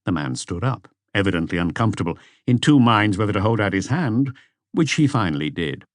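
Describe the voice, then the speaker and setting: Low-voiced, expressive male audiobook narrator. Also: brisk, dry recording, clean studio sound. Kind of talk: scripted mystery novel narration.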